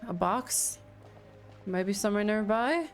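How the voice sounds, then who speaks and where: A woman giving casual live reactions to a video game, thinking aloud in a halting, giggly manner. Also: medium pitch, expressive, faint music behind. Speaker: gaming video creator reacting to gameplay.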